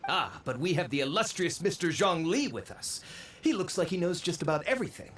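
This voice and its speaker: Game role: greedy, sarcastic treasure hunter, high male voice, swooping and animated. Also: fairly quick, slight background noise. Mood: eager, greedy. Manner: brash, sarcastic. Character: brash, greedy male treasure seeker, quick to complain. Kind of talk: scripted video game voice acting. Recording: faint game music behind the voice. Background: game music.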